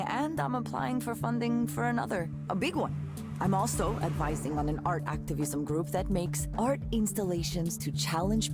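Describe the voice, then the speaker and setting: An actress playing a candid, lively woman musician chatting with an old friend in a naturalistic visual novel style. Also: on the low side. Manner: expressive, conversational, frank.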